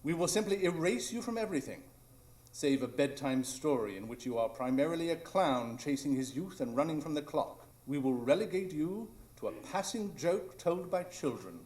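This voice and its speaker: Man playing an eloquent, animated detective. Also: fairly high pitch, moderate pace, lightly acted. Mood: coolly triumphant. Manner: theatrical, articulate, declamatory. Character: confident, eloquent male detective, coolly defiant. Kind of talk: live radio drama acting.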